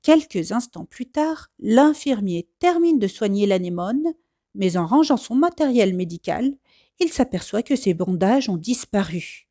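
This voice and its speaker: Animated woman reading a children's story. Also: mid-range voice, a little slow, no echo, studio quality. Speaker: storybook reader. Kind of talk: expressive scripted narration for children.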